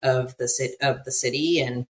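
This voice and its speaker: An American woman talking as a podcast guest in a relaxed conversation, animated and quick to laugh, often trailing off and restarting. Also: rumbling low. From United States. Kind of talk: conversational interview.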